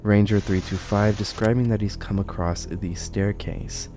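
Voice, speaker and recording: A man's voice: fairly low pitch, fairly even intonation, moderate pace, music in the background. A man narrating and commenting on horror videos as an online host.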